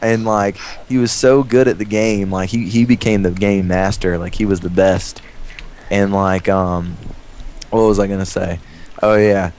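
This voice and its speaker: A young American man telling a profane, rambling story casually to friends, animated when he acts out quotes. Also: low-pitched, measured pace. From United States. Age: young adult. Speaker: storyteller among friends playing a game. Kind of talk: casual profane storytelling over game voice chat. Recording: noisy game voice chat recording.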